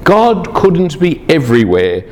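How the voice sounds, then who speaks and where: A man's voice: a little high, wildly swooping pitch, a little slow, big-room sound, a little hiss or hum. A man from Australia, giving a lecture to a large group, chatty and teasing the audience.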